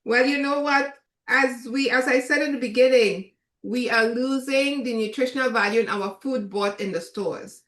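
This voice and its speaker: A Trinidadian woman chef giving a relaxed, chatty cooking demonstration, with many fillers. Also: a little high, moderate pace, hardly any pauses, roomy sound.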